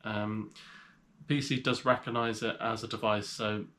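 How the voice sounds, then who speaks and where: A man's voice: on the low side, a little hiss or hum. Nasal man with a cold. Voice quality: slightly nasal. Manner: relaxed, rambling, with frequent pauses. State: has a cold. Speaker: reseller vlogger. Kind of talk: casual vlog talk showing items to camera.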